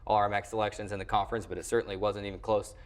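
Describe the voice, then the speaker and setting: An American man with a lowish voice hosts a sports program. He talks like a play-by-play announcer in a conversational interview, moving between chat and presenting. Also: little pitch movement, rapid-fire. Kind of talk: conversational sports show hosting.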